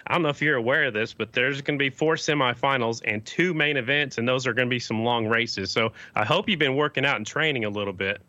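A chatty male podcast host with a bright, forceful voice who asks questions in a friendly way. The sound is dry and a little dull, as if recorded on a modest mic. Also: mid-range voice, fast talker, few pauses, no echo. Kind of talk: casual interview.

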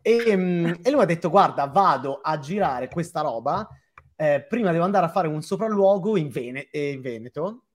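A man's voice: on the high side, expressive, moderate pace. A man hosting a casual live interview, talking in a hesitant, self-deprecating, jokey way. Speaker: host.

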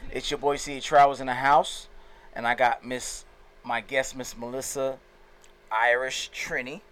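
Man, podcast host, easygoing, jokey, lots of "okay" and "got you", medium pitch. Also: flat delivery, unhurried, fairly bright tone. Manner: easygoing, joking, frequent affirmations. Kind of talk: casual podcast interview.

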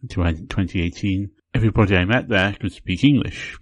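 A man with a notably low, deep voice talks through his podcast on his own. His delivery is relaxed and chatty, with frequent small restarts and repeated words as he thinks aloud. The sound is close and dry, with no room echo at all. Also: moderate pace. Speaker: podcast host. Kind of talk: casual solo podcast talk.

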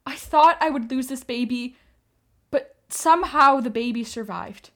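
A woman's voice: high-pitched, unhurried, fairly bright tone. A woman acting in a radio play as an anguished, defiant young Armenian mother, pleading with feeling. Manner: impassioned, pleading.

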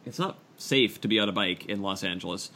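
A man's voice: on the low side, fairly quick, pushed and bright. A man co-hosting a podcast, chatting casually with friends in a wordy, self-deprecating way. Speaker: podcast co-host. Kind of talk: casual chat among friends.

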